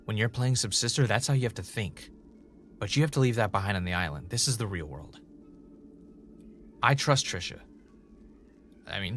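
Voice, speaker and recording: An intense, brooding male podcast host in an audio drama. His voice is low and forceful with lively swings in pitch, and there is faint noise behind him. He sounds defensive and obsessive, like someone confiding in a recording. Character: intense, obsessive, unnerved male podcast host, former game-show contestant. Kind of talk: audio drama mixing confessional monologue and conversation.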